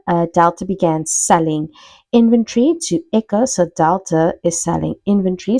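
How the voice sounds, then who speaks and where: A woman with a fairly low voice gives a step-by-step tutorial. She talks conversationally, like a lecturer checking that students follow. The sound is dry and close to the microphone. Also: darkish tone, dry recording. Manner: conversational teaching, checks in with "okay" and "right". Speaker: accounting lecturer. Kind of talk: explanatory tutorial, working through an example step by step.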